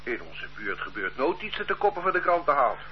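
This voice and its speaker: A man's voice: on the high side, brisk. An actor playing an easygoing older man who loiters on the street, chatty and bewildered by accusations in a radio drama. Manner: lively, chatty, homely, protesting. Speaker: suspect. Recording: dull lo-fi radio play recording, faint hiss.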